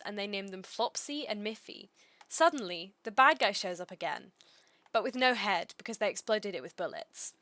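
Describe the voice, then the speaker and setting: Female streamer, bright tone, understated deadpan, casual delivery, dry close recording. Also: mid-range voice, no echo. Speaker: streamer reading a script aloud.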